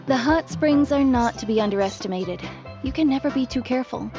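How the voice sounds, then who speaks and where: A woman voicing a bossy, energetic ex-warrior auntie who guards hot springs in an anime game, stern and emphatic. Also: high-pitched, fairly quick. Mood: stern, satisfied. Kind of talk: anime video game voice acting. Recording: muffled game audio under music.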